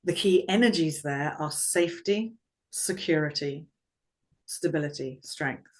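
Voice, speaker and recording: Low, slow, calm woman voice coach; measured and reflective. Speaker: guest, vocal confidence coach. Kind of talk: conversational interview.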